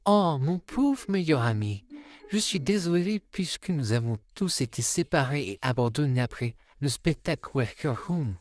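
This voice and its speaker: A woman voicing a gentle, anxious boy puppet in a comic dub, expressive and sincere. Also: low voice, moderate pace. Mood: sympathetic.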